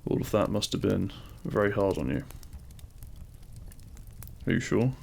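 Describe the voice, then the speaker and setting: An actor playing a demon king, a deliberate and intimate lover, speaking straight to the listener in a romantic audio roleplay. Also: on the low side, lively intonation, gravelly, darkish tone, slight background noise, heavily acted. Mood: tender, worried. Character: feared demon king, menacing in public, tender lover in private.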